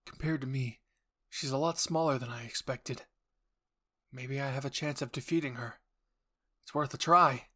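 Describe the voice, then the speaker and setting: A man's voice: medium pitch, normal speed, pauses often, pushed and bright. A man playing a fearful young male Titan shifter in an anime roleplay, exclaiming frantically and panicking. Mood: wary, calculating. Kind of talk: anime fan roleplay acting.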